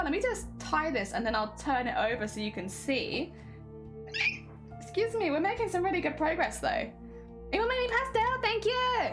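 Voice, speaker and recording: A young woman talks casually as she sews on a live stream, rambling through filler words. Her fairly high voice swings up and down a lot, and a slight winter cough roughens it. Quiet music plays underneath. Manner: chatty, rambling, lots of fillers. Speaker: craft streamer. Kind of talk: casual live-stream chat while sewing.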